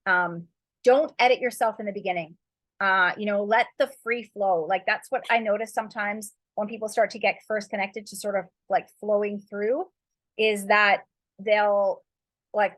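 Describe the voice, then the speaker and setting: A woman's voice: mid-range voice, steady tone, normal speed, fairly bright tone, no room sound. A woman author giving casual, encouraging writing advice in a loose, rambling way, full of fillers and spiritual turns of phrase. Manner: loose, rambling, heavy with "like" and "you know".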